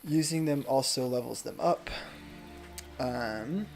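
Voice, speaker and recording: Soft-spoken streamer man with gravelly voice. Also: mid-range voice, slow pace. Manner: chatty, self-deprecating, a bit soft-spoken. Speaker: game streamer. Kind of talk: casual gameplay chat, reading chat aloud. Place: home room. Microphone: desk microphone. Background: game music.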